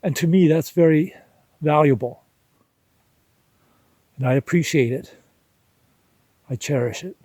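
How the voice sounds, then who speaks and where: A man in his sixties talks to his viewers in a reflective vlog style. His voice sits a little high for a man and moves slowly, with halting, deliberate pauses. The sound is close and dry. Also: a little mellow, no room sound. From Canada. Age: 60s. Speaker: vlog host. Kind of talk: reflective personal vlog monologue.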